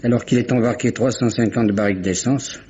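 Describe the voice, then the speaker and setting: Shipowner under questioning male actor: blustering, evasive, mid-pitched, on a dull old radio drama recording. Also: fairly even intonation, fairly quick, a little mellow, close to an everyday voice. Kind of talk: 1950s-style French radio mystery acting. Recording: dull vintage radio recording, faint noise.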